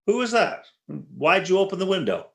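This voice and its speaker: A man acts out a jealous, long-suffering husband from a 1940s radio comedy. His bright, sharp voice swings widely as he talks with indignant sarcasm. It sounds roomy and echoey. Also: sits high, very animated, moderate pace, exaggerated voice. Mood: irritable. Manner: indignant, sarcastic, put-upon.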